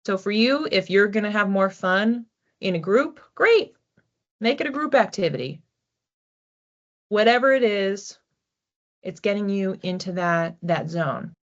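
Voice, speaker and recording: A woman reading oracle cards for a general audience in a relaxed, chatty way, thinking aloud as she goes. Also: mid-range voice, measured pace, pauses often. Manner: chatty, thinking aloud with restarts and soft laughs. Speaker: psychic card reader. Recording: slightly dull lo-fi audio.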